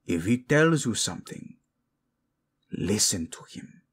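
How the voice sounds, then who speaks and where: A man's voice: on the low side, big pitch swings, slow, heavily acted. A man playing a possessive, devoted prince in a romantic audio roleplay, murmuring formal words with Spanish endearments to his wife. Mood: grave, commanding. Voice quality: husky, gravelly, breathy in places.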